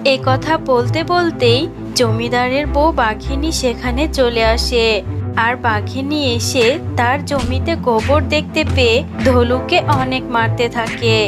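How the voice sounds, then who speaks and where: A woman's voice: on the high side, unhurried, over music. A woman reading scripted narration for a cartoon folk tale as its narrator.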